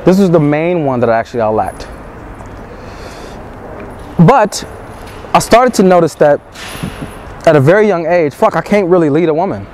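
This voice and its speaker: A young man who coaches dating, talking in a low, measured way, sometimes slowing right down. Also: lively intonation, darkish tone. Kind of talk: street pickup talk and to-camera advice. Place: busy city square outdoors. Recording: street noise and traffic behind.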